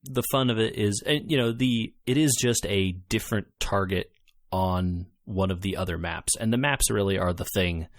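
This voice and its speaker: A man with a lowish voice co-hosts a casual gaming podcast. He retells things in an animated way, often acting out quotes. The sound is dry and close. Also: moderate pace, dry recording. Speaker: podcast co-host. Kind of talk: casual chat about video games.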